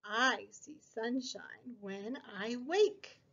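High, animated woman reading to preschoolers. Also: highs cut off. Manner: sing-song, exaggerated child-directed delivery, drawn-out words. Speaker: preschool story time host and teacher. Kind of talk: picture book read-aloud for small children.

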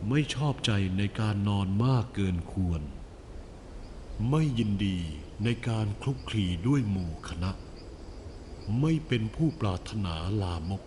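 An actor voicing the Buddha as a calm male teacher, giving a solemn and measured admonition to his monks. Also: on the low side, slight background noise. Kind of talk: animated film dialogue.

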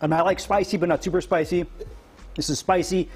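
Upbeat male TV host, higher voice. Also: steady tone, fairly quick, husky. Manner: upbeat, friendly interviewer, tasting food. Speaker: TV morning show host. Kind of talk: live cooking segment chat. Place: TV studio kitchen set. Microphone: lapel mic.

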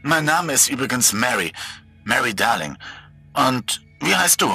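A man's voice: mid-range voice, very animated, measured pace, gravelly, sharp tone, slightly rough, soft background music, pitched above the performer's own voice, exaggerated voice. A man voicing an eerie, sweet young woman from a horror story, playful and coy. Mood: friendly, coy.

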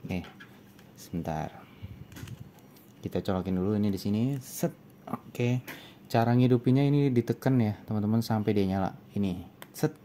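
A man giving a casual product review, talking informally and haltingly as he thinks aloud. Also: on the low side, dark tone. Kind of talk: casual unboxing and review chat. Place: indoor room with tiled desk. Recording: clearly noisy room recording.

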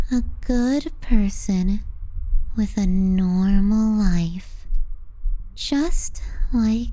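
Casting: female performer for manipulative younger sister, young adult, possessive and mocking; very dark, velvety, breathy murmur for ASMR roleplay. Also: fairly high pitch, poor audio. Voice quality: soft, breathy. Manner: slow, breathy murmuring that slips into whispers. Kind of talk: intimate ASMR roleplay acting.

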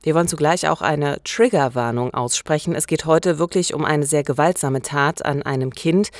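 A woman's voice: deep voice, normal speed. A woman in her thirties from eastern Germany, co-hosting a true-crime podcast in a conversational narrating style, close on a studio mic. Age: 30s. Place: wood-panelled radio studio. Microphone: close studio mic with pop filter.

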